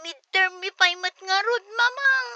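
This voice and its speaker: A woman's voice: very high voice, unhurried, pushed and bright, lo-fi sound, slight background noise, heavily acted. A woman acting exasperated, complaining women of a struggling family in a comedy drama, with an Ilocano accent. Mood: upset.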